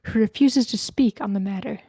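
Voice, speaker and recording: A woman plays a tour guide in her late twenties, recounting eerie local legends. Her voice is soft and mellow, with very little room sound around it. It comes across as a screenplay being read aloud. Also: mid-range voice, a little fast, husky, dry recording, close to an everyday voice. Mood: eerie storytelling. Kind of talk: screenplay table read, storytelling.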